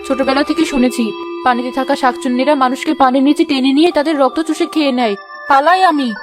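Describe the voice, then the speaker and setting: A woman's voice: high-pitched, steady tone, fast, over music, lightly acted. A woman voicing a superstitious, easily frightened village woman in a cartoon, dramatic and fretful. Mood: terrified. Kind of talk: cartoon voice acting.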